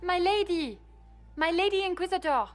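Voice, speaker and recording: A woman's voice: very high voice, moderate pace, faint music behind. A woman playing a courtly, gracious masked spokeswoman of an empress in a video game, formal and ceremonious. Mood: urgent, eager. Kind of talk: video game dramatic dialogue.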